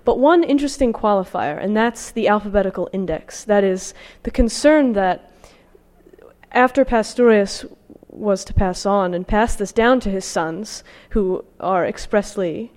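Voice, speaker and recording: A woman delivers an academic lecture in a large room with long reverb around her voice. She has a mid-pitched voice and a scholarly, slightly halting manner as she presents her research. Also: darkish tone. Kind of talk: academic talk with slides, reading out quotations.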